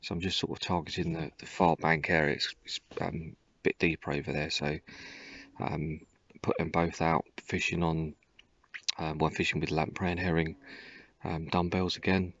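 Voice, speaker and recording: Low flat-voiced man on a riverbank. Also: breathy edge, highs cut off. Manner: relaxed, halting, many ums and restarts. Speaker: angler vlogger. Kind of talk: casual walkthrough of fishing gear. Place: riverbank outdoors. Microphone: phone camera held close.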